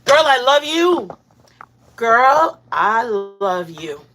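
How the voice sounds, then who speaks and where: Bright, excitable woman hosting a home cooking stream, rambles and giggles, faint hiss behind her. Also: fairly high pitch, very slow. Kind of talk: casual live cooking chat. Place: home kitchen.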